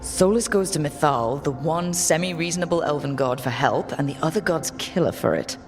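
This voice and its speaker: Wry clever detective type in a fantasy game, chatty, quiet music behind. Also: female voice, sits low, expressive, fairly quick. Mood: wry, reasoning. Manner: wry, conversational. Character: wry, quick-witted investigator companion in a fantasy party. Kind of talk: naturalistic game dialogue.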